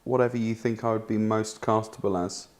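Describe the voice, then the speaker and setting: A man chatting casually as one of the actors in a group read-through, laid-back and deadpan. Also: on the low side, a little fast. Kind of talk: casual chat.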